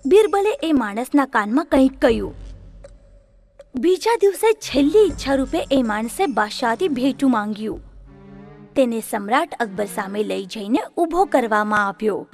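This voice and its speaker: A woman narrating a children's story in an expressive way. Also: on the high side, moderate pace, faint music behind.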